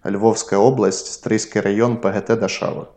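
A man from Ukraine speaks in a low voice as a podcast co-host. He explains his views in a reflective, storytelling way. His talk stays unhurried and conversational. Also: level tone, warm tone. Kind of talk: casual chat.

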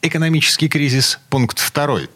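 A man's voice: a little high, moderate pace, sharp tone. A man hosting a studio discussion, talking with frequent hesitations and fillers. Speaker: host.

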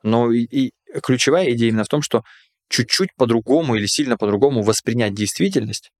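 A man's voice: mid-range voice, fast talker, no echo, clean studio sound. A man hosting a podcast in casual conversation, explaining ideas in a hesitant, reflective way with many fillers. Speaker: podcast host.

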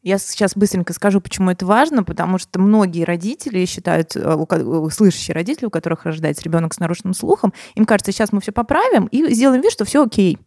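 Woman host, quick and gravelly, lowish voice. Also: expressive, darkish tone, no room sound, studio quality. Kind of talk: casual conversation.

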